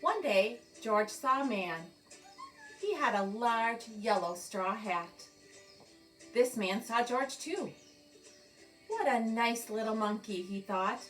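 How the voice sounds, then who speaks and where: Woman storybook reader: slow, expressive delivery, slightly distant mic with music behind. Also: a little high. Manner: expressive, deliberate storytelling with dramatic pauses. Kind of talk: children's picture-book read-aloud.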